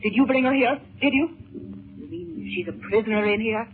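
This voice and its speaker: A woman's voice: on the high side, a little fast, lightly acted. Woman acting distraught pleading mother, well-bred lady, theatrical 1930s radio drama, narrow scratchy sound, faint music. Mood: fearful, worried. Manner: breathy theatrical exclamations, pleading.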